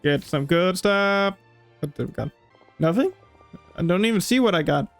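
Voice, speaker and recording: A woman's voice: on the low side, animated, moderate pace, faint music behind. A woman giving casual let's-play commentary as a streamer, muttering her reactions to the game. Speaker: gaming streamer.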